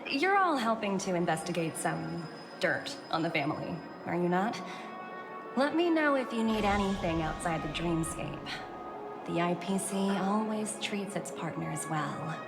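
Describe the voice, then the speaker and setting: A woman voicing a poised, brisk young corporate executive in a video game, composed and businesslike. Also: on the low side, animated, gravelly. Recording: background music under the voice.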